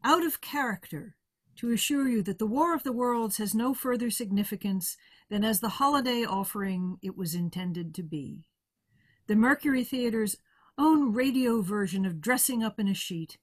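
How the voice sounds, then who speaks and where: A woman's voice: mid-range voice, animated, normal speed, close to an everyday voice. Wry radio showman played by a woman signing off. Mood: wry, playful. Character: wry showman radio host addressing the audience out of character. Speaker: actor delivering radio play's closing address. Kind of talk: theatrical radio sign-off to listeners.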